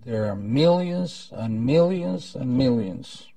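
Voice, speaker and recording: Older male host, Costa Rican accent, very slow and deliberate formal delivery, soft dark tone. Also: mid-range voice, expressive, creaky, dry recording, far from the mic. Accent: Costa Rican Spanish. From Costa Rica. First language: Spanish. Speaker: event host, former ambassador. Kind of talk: formal prepared welcome speech. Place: indoor conference room at a lectern. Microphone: lapel mic.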